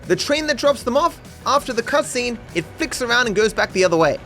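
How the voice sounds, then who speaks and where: High-pitched, animated man narrating over music. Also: brisk. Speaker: video host and narrator. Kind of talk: scripted comedic game commentary. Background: background music.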